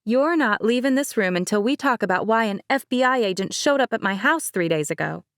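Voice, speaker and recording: A woman's voice: a little high, expressive, a little fast, fairly bright tone, dry recording, studio quality, pitched above the performer's own voice. A woman voicing a direct, earnest young wedding planner who was once an agent.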